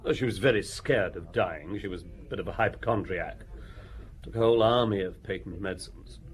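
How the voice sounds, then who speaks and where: A cool, evasive playboy and snob played by a male actor. He speaks in a slightly low voice, clipped and offhand, with a sardonic edge, as in a radio drama. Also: a little fast, close to an everyday voice.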